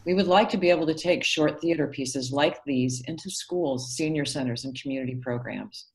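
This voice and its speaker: A woman's voice: rumbling low, normal speed, a little mellow, low-quality recording. A woman hosting a show, giving a polite scripted appeal directly to viewers. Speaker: host making a donation appeal.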